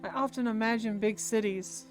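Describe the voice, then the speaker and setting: A woman's voice: a little high, normal speed, darkish tone. A woman streamer reading visual novel dialogue aloud in an informal, chatty way with game music behind her. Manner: informal, breaks off to comment and talk with family.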